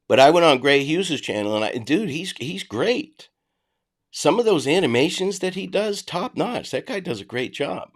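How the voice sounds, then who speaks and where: A man's voice: a little high, big pitch swings, moderate pace. A middle-aged man with a Boston accent, hosting a casual livestream, reading and answering chat in a blunt, conversational way. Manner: blunt, conversational, frequent restarts.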